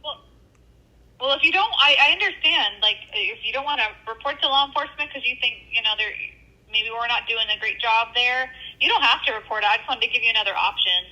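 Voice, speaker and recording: A woman working a helpline, speaking gently and hesitantly with many fillers on a phone call. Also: a little high, expressive, quick pace. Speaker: helpline worker.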